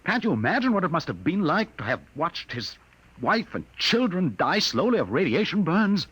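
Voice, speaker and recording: An actor playing a compassionate, commanding spaceship captain in a 1950s radio drama, grave and earnest. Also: male voice, a little high, sing-song, normal speed, fairly bright tone, slightly rough, dry recording, full character voice, poor audio. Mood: impassioned. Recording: vintage radio recording, faint noise.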